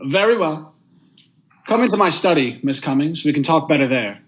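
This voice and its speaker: A man's voice: fairly high pitch, lively intonation, normal speed, very noisy, close to an everyday voice. Young man playing a formal, stern radio-drama judge. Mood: polite, controlled. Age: young adult. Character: stern, unmoved male judge, husband and brooding narrator. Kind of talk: vintage radio drama acting.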